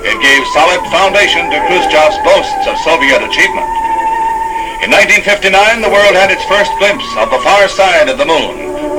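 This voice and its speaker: American guy doing old 60s newsreel voiceover, kinda high pitched, formal and punchy, loud music behind. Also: poor audio. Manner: formal, emphatic announcer delivery. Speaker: newsreel narrator. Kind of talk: scripted 1960s newsreel narration.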